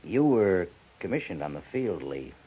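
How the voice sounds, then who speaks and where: An actor playing a persistent commanding major with a husky voice in a 1950s radio drama. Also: male voice, sits low, big pitch swings, moderate pace, exaggerated voice. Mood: probing. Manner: persistent, questioning. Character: probing fort major, firm commanding officer. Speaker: army major. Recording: muffled 1950s radio broadcast.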